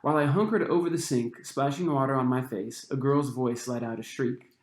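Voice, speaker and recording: A man, the author, reading his own young adult novel aloud with expressive storytelling. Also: mid-range voice, fairly quick, reverberant. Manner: expressive storytelling, acting out the dialogue.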